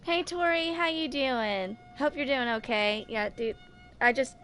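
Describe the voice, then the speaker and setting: A woman's voice: sits high, measured pace, noisy background. A woman in her twenties chatting casually as a streamer, rambling informally and repeating phrases for emphasis. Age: 20s. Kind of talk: casual livestream chat.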